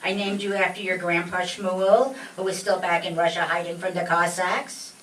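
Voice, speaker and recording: A woman's voice: on the low side, little pitch movement, normal speed, a bit bright, far from the mic, natural voice. Woman playing an indignant old immigrant mother, echoey stage. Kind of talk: comic old-time radio skit acting. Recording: echoey stage recording from afar, faint noise.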